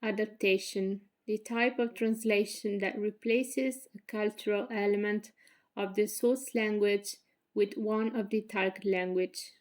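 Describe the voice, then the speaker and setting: Italian woman reading in a flat voice. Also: mid-range voice. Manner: careful, measured reading with slips. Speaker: graduate student. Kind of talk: reading a prepared presentation.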